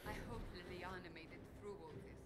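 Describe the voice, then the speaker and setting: An actress playing a stern, commanding female warrior in a fantasy video game, brisk and formal in manner. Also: lowish voice, fairly even intonation, lightly acted. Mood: stern, urgent. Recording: noisy outdoor game ambience.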